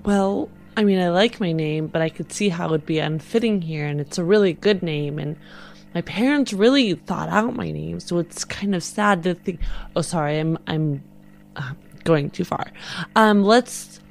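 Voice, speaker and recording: A woman's voice: lowish voice, moderate pace, creaky. A woman playing a tabletop game, casually improvising in role and hesitantly thinking aloud. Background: music bed.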